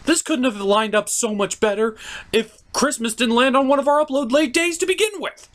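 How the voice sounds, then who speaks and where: A man voicing a theatrical, easily exasperated gentleman puppet who hosts a scripted comedic cartoon review. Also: very high-pitched, normal speed, pitched above the performer's own voice. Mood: showy, upbeat. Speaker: host of an animation review show.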